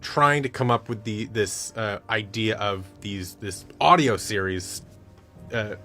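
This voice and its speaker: A man's voice: fairly low pitch, slow, sharp tone, soft background music. A man talking casually as an interview guest, an audio drama producer who tells stories by acting out the exchanges. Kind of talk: casual chat.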